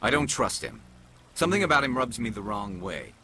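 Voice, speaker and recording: Gravelly, intense alien investigator. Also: male voice, mid-range voice, very animated, fairly quick, sharp tone, exaggerated voice. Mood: suspicious. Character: determined, suspicious alien male police investigator. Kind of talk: video game voice acting.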